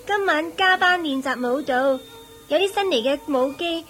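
An actress playing a deferential young palace dancing maid in a Cantonese radio drama, with a singsong announcing delivery. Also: female voice, high voice, moderate pace, a bit bright, soft background music. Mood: cheerful, deferential.

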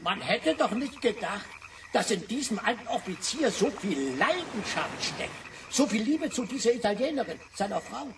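A grandiose French gentleman in a radio play. His voice swings up and down theatrically and effusively, a little distant with faint noise behind. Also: high-pitched, sing-song, normal speed. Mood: marvelling. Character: excitable, grandiose bearded Frenchman, fond of exaggeration. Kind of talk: radio drama dialogue.

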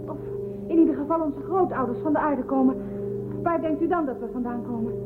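A woman's voice: sits high, fairly quick, close to an everyday voice. An actress playing an inquisitive, persistent spacewoman in a science fiction radio drama, probing and polite. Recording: narrow phone-like sound, loud music.

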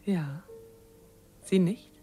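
An actress playing a dreamy, romantic teenage daughter of a governor in a radio drama, speaking softly and ingenuously. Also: female voice, lowish voice, drawn-out words, soft background music.

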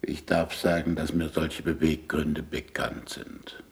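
An actor playing a sardonic, embittered older scientist in a radio play, speaking with dry, biting irony. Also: male voice, rumbling low, completely flat delivery, takes it slowly, exaggerated voice. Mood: curt, bitter. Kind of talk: German radio play acting.

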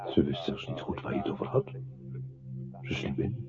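An actor playing an intense, insinuating narrator in a radio drama, theatrical and at times dropping into hushed tones. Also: male voice, a bass voice, normal speed. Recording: muffled, noisy phone-like old radio recording.

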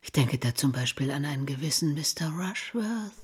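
A meddling middle-aged aunt in a radio drama, her voice low and rough-edged. She sounds sanctimonious and insinuating, forever matchmaking, with faint music underneath. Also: lively intonation, normal speed, a little mellow, heavily acted. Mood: sly, pious. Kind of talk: German radio play acting.

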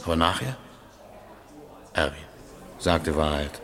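Torn working-class witness in radio crime drama, very deep voice, loyal yet unsure. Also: male voice, animated, unhurried, sharp tone, full character voice. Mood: urgent, reproachful.

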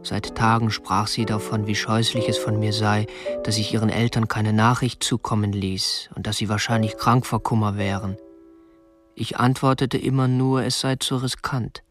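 A man's voice: lowish voice, level tone, moderate pace, music in the background, close to an everyday voice. An actor playing a meek, stiff kidnapper in his twenties in a radio drama, obsessive and self-justifying, in a plain, subdued manner. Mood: matter-of-fact, self-justifying. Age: 20s. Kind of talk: radio drama acting, confessional first-person narration.

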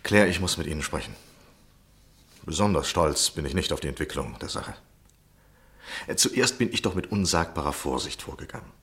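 A gentleman journalist in a vintage radio drama. His voice is very deep, and he speaks as an obsessed, frustrated man justifying himself in theatrical, literary phrases. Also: expressive, normal speed, long pauses, exaggerated voice. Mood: confessional, wry. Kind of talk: 1960s German radio play acting.

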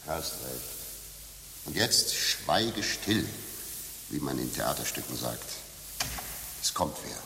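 An actor playing a sly, flattering old rogue in a German radio play, with a wry, theatrical manner. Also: male voice, a bass voice, slow, long pauses, heavily acted. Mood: wry, secretive. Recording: long reverb, hissy background.

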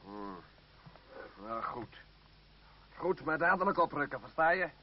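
Bullying sergeant, high male voice, curt and commanding; muffled, noisy old radio drama recording. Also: expressive, measured pace. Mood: brusque. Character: gruff, bullying French army sergeant, greedy. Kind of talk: radio play acting.

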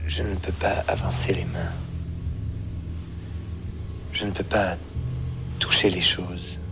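A man's voice: low-pitched, little pitch movement, takes it slowly, whispered. An actor playing a world-weary, self-deprecating man in film dialogue, with music and noise behind. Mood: confessional, weary.

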